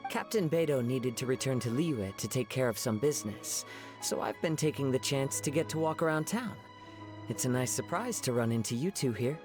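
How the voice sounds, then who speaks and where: A man voicing a calm young wandering samurai in an anime game, with gentle, polite, poetic phrasing. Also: medium pitch, normal speed, lightly acted. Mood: friendly, serene. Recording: music behind the voice.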